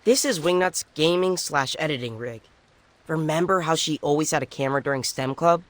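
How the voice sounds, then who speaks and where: A man's voice: on the high side, brisk, no room sound, lightly acted. A man voicing an enthusiastic, geeky teenage mutant turtle boy in a cartoon game, eager and matter-of-fact. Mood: geeky, helpful. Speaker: voice actor in a game cutscene. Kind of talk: animated cartoon game acting.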